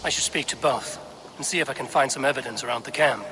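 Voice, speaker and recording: A stern Norse warrior played by a male actor in a video game. He probes and questions in firm, terse phrases at a medium pitch. Light ambient noise runs underneath. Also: fast talker, natural voice. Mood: musing. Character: stern, probing Viking warrior investigating a betrayal. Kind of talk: video game dramatic acting.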